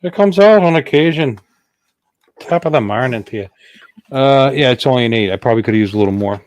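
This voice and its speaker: A man's voice: mid-range voice, lively intonation, fairly quick, darkish tone. A middle-aged man hosting a casual livestream chat in a playful, rambling, joking way. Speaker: livestream host.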